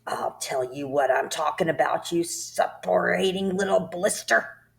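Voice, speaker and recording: A woman voicing a tyrannical headmistress who barks insults and shouts at a pupil in a storybook reading. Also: on the low side, a little slow, gravelly, voice pushed up. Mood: furious. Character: bellowing tyrannical female headmistress, bullying.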